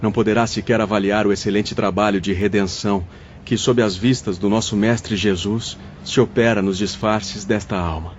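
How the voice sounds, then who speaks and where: A man's voice: fairly low pitch, steady tone, normal speed, slight background noise, natural voice. A man playing a wise spirit mentor in an audio drama, solemn, formal and didactic. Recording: dull lo-fi audio, faint music.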